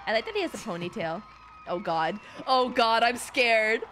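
Playful young woman, high animated voice. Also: moderate pace, faint music behind, a faint crowd. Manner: playful, giggly, reactive. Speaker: streamer. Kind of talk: casual game livestream commentary.

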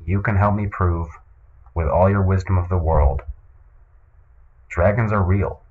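A man hosting a comedic podcast in a casual, deadpan and hesitant way, on a muffled recording. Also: deep voice, unhurried. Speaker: podcast host. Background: music.

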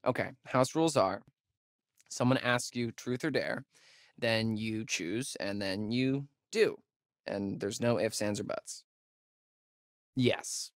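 A young American man, a sardonic summer camp counselor who loves a wisecrack. His delivery is slangy and laid-back, taking its time, with lively swings in pitch. The sound is close and dry. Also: no room sound. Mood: playful, teasing. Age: young adult. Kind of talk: naturalistic video game acting.

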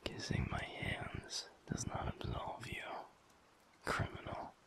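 A man playing a teasing, affectionate werewolf boyfriend in an intimate audio roleplay, with a breathy, gravelly murmur and a playful mock-stern manner. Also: takes it slowly, fairly bright tone, in a whisper. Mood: mock-scolding, amused.

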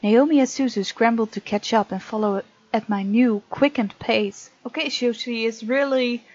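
A woman's voice: fairly high pitch, normal speed, a little mellow, no echo, highs cut off. A woman doing a let's play, reading a visual novel aloud with chatty, giggly asides.

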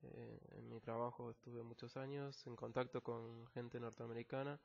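A man's voice: medium pitch, flat delivery, moderate pace, vocal fry, a little mellow. A man with an Argentine accent, an English teacher patiently explaining a technical text word by word, with frequent fillers. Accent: Argentine Spanish. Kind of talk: screen-recorded language lesson. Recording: slightly dull lo-fi recording.

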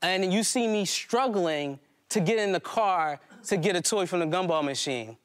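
A middle-aged man delivers an autobiographical monologue live on stage in a halting, conversational way. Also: high-pitched, animated. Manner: conversational, halting, prone to chuckling. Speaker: poet and storyteller on stage. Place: indoor community hall stage before a small audience.

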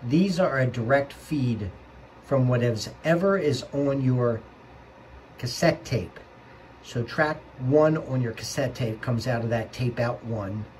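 A man's voice: mid-range voice, takes it slowly, a little mellow, a little hiss or hum, poor audio. A man narrating an instructional tutorial as its host, explaining things step by step in a plainspoken way.

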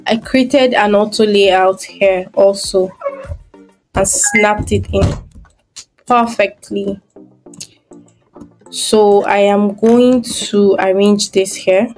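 A woman's voice: mid-range voice, steady tone, extremely slow, long pauses, a little mellow, faint music behind, rough recording. A woman giving a screen-recorded design tutorial, thinking aloud step by step as she works.

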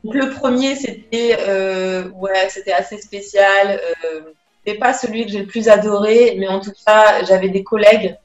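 A woman's voice: medium pitch, fairly even intonation, normal speed. A young woman speaking casually as a guest over a video call, hesitant, with many fillers and restarts. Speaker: interview guest. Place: small room indoors. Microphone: laptop webcam mic on video call. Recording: roomy, dull lo-fi call audio with faint music.

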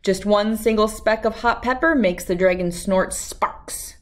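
A woman in her thirties reading a children's storybook aloud with expressive, emphatic delivery and dramatic pauses. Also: mid-range voice. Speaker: read-aloud host.

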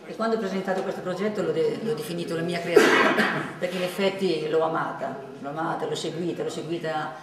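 A woman's voice: low-pitched, fairly even intonation, fast talker, hardly any pauses. A middle-aged woman presenting her own project in earnest, repeating phrases for emphasis. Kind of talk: personal presentation speech. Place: office room with computers. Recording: echoey room from far off, with crowd.

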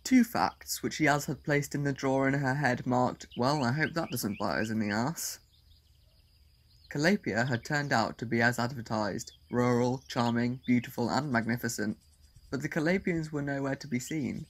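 Mid-pitched male story narrator.

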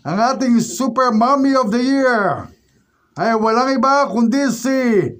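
A man's voice: very high-pitched, expressive, measured pace, fairly bright tone, heavily acted. A man playing a showy TV contest host in a Filipino radio drama, with grand announcer delivery. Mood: building suspense. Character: showy, suspense-building male TV contest host. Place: radio drama recording studio.

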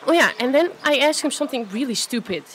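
A woman's voice: sits high, expressive, fast talker, noisy background. A woman playing a friendly, chatty, self-doubting young woman in an amateur radio play, with a hesitant, natural delivery. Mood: chatty, embarrassed.

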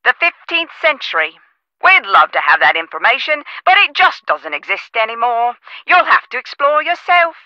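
A chirpy, officious office manager from a video game. Her voice is piercingly bright and animated, coming dry through a slightly dull phone line as she chats and fusses over the rules. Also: a little high, moderate pace, no echo, exaggerated voice. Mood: confiding, friendly. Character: chatty, prim female office manager on the phone, policy-proud.

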